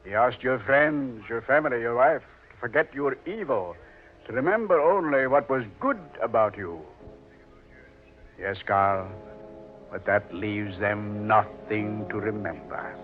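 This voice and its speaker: A man playing a sardonic, smugly amused Satan who taunts with deliberate, polite menace in old-time radio acting. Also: lowish voice, close to an everyday voice. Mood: scornful. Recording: tinny vintage radio recording with music.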